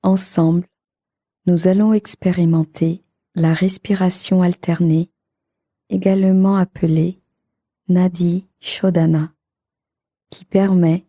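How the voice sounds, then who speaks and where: A woman leading a guided meditation for sleep in a calm, soothing, measured manner, with a soft voice that turns breathy at times. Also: lowish voice.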